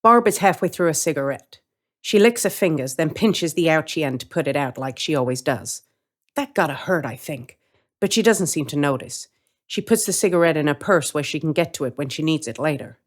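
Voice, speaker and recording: A woman's voice: deep voice, animated, a little fast. A woman reading a first-person story in character, with a wry, streetwise, colloquial manner. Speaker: story reader.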